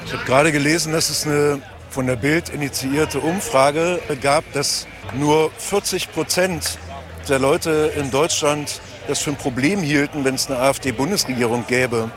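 A man's voice: a little high, fairly even intonation, unhurried, over music. A man working as a reporter, casually interviewing and commenting on location. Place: outdoors on a half-filled market square. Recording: outdoor crowd and street noise.

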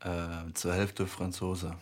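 A man from Germany, a rapper guest on a radio show, answering in short, relaxed replies. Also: deep voice, takes it slowly. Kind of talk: radio interview.

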